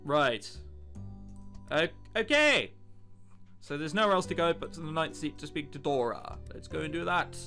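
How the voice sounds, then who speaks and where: A man's voice: on the high side, vocal fry, pushed and bright. A man giving casual, sarcastic let's play commentary, joking and swearing as he plays and reads a visual novel. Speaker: video game let's player. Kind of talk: casual gameplay commentary, reading visual novel text aloud.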